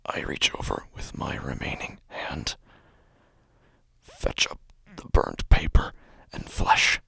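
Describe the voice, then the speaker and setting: An actor playing a haunted, grieving, quietly unhinged husband who narrates a horror audio drama in halting fragments with a breathy, gravelly whisper. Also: male voice, dry recording. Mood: eerie, hollow. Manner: halting, fragmented, drawn-out phrases.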